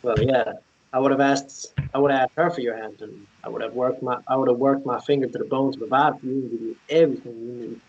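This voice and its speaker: A man playing a gentle, devoted romantic in a naturalistic table read, earnest and halting. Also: mid-range voice, fairly even intonation, husky. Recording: slightly dull lo-fi recording.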